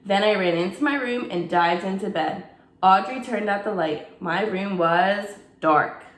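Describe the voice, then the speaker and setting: A woman, a teacher, reads a children's bedtime story with lively expression in a mid-pitched voice. She pauses to put questions to her young listeners, and her room gives the voice a clear echo. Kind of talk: children's bedtime read-aloud. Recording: echoey room.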